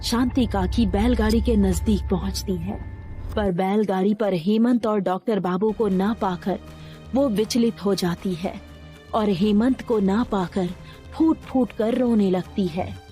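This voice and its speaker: Female narrator, mellow tone, suspenseful horror storytelling. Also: medium pitch, a little slow. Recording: faint music underneath.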